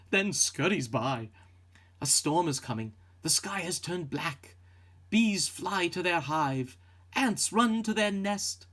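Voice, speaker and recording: A man narrating a children's picture book in a measured, suspenseful and expressive storytelling manner. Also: on the high side.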